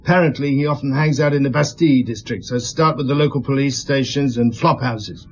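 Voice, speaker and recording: An actor playing a patient police inspector in a radio drama, with measured, polite, probing questions. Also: male voice, medium pitch, muffled recording, close to an everyday voice. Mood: brisk, businesslike.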